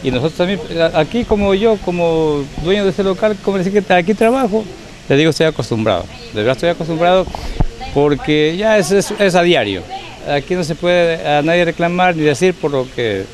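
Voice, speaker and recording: A man's voice: fairly high pitch, expressive, a little fast. A man in his fifties, a local business owner, complaining indignantly in a street interview into a handheld mic, repeating his points. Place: busy street market outdoors. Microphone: handheld reporter mic. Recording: busy street crowd behind.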